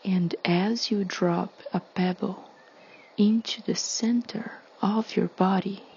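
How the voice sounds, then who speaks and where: A woman leading a guided meditation in a soothing, hushed, breathy delivery with long pauses. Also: medium pitch, steady tone, lo-fi sound, slight background noise.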